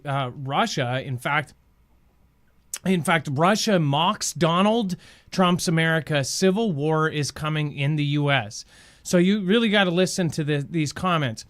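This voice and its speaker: A man's voice: fairly high pitch, a bit bright. A man hosting a news show with conversational commentary, speaking informally and straight to his viewers. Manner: informal, addresses viewers directly.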